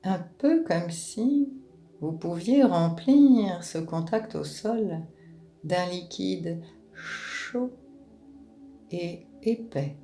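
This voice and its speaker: A woman guides a relaxation meditation in a low, mellow voice. She speaks very slowly and gently, leaving space between short, soothing instructions. Music plays behind her. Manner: calm, gentle, unhurried phrasing with pauses.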